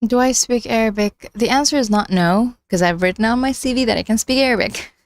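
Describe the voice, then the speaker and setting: A woman hosting a casual livestream, chatting in a halting way as she thinks out loud. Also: a little high, no room sound. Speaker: livestream host.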